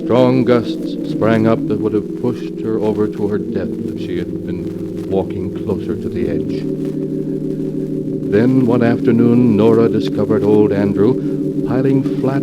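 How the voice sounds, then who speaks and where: A man's voice: lowish voice, slow pace, highs cut off, heavy background noise. An American man giving a somber, dramatic scripted narration in 1940s radio style. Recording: old radio recording with prominent music.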